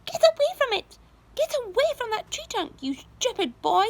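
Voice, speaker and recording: A woman's voice: very high voice, big pitch swings, moderate pace, dry recording, voice pushed up, exaggerated voice. A woman voicing a thin, bossy, spiteful aunt in a storybook reading, shrieking and snapping. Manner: screechy, snapping.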